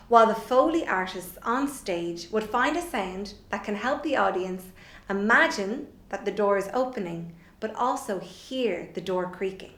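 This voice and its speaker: A middle-aged woman presenting a children's theatre workshop, explaining simply and guiding with encouragement. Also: mid-range voice. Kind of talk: children's instructional video, explaining and leading warm-up exercises. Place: indoors in a bare room at home. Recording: echoey room.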